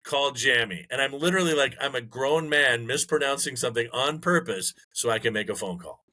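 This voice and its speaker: A middle-aged man co-hosting a podcast, chatting casually and telling jokey stories with lively retellings. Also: mid-range voice, fairly quick. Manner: joking storyteller, animated retellings. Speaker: podcast co-host. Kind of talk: casual chat.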